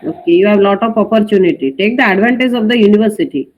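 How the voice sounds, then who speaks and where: A woman teacher running an online class, asking students brisk questions in non-native phrasing. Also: medium pitch, highs cut off. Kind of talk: online class roll call.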